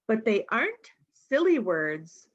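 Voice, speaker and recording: A woman reads a picture book to young children at an unhurried, slow pace. She is expressive and speaks straight to the little listeners, often with questions. The recording is slightly dull and lo-fi. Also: fairly high pitch. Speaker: storytime reader.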